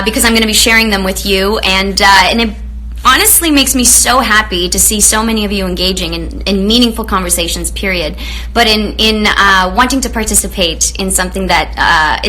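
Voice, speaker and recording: A woman's voice: medium pitch, poor audio. A woman from Romania hosting a live online show, chatting with viewers in an effusive way with lots of fillers. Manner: effusive, greets viewers warmly, many fillers. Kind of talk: live-stream chat with viewers.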